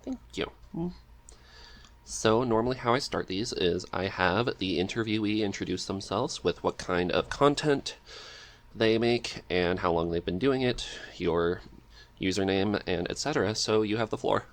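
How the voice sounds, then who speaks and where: Low-voiced man hosting a casual podcast. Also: unhurried. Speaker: podcast host interviewing a guest.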